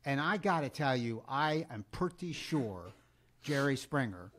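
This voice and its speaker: A man's voice: medium pitch, lively intonation, a little slow, fairly bright tone. American man in casual teasing chat. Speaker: podcast co-host.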